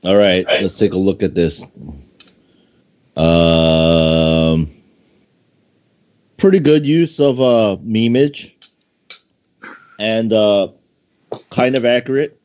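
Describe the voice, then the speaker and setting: A man's voice: on the low side, extremely slow, pauses often, darkish tone. A man chatting casually and commenting as a co-host and contest judge. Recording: dull lo-fi voice chat audio.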